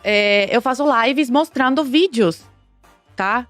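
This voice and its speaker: A woman's voice: fairly high pitch, takes it slowly, fairly bright tone, no room sound. A woman from Cuba speaking emphatically and giving firm opinions as a podcast guest. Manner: emphatic, outspoken. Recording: background music.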